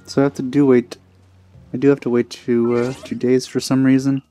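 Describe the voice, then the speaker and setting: A man's voice: medium pitch, flat delivery, fairly quick, warm tone. A man commentating casually on a video game, making offhand, muttered asides as he plays. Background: faint game music.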